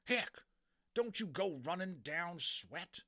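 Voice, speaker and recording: A man's voice: high-pitched, big pitch swings, takes it slowly, bright, forceful tone, pitched above the performer's own voice, full character voice. A man voicing a stubborn, grimy cowboy in a children's storybook, with a folksy, indignant drawl.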